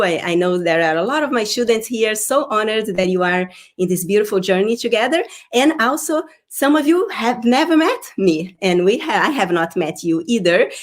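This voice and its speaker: A woman from Brazil speaks English with a Brazilian Portuguese accent, her voice sitting in a middle register. She hosts a live online workshop in an effusive manner and repeats words like "beautiful" and "welcome". She sounds middle-aged. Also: mid-range voice. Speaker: workshop host. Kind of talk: live-stream workshop talk.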